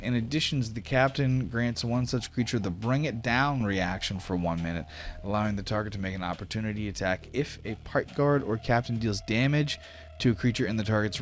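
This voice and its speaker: A man running a tabletop game as game master, moving between dramatic combat narration and casual rulings. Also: fairly low pitch. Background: background music.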